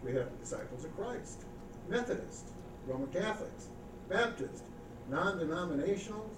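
Brief: male pastor, somewhat high voice, mid-sermon, picked up from a distance in a reverberant church with audible noise. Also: lively intonation, normal speed. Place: church sanctuary. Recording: echoey church recording from far off with background noise.

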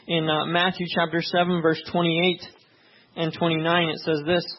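A male pastor who grew up in Tanzania, opening with a soft, hushed prayer and then preaching in a conversational way, often checking in with "right?". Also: high voice, lo-fi sound.

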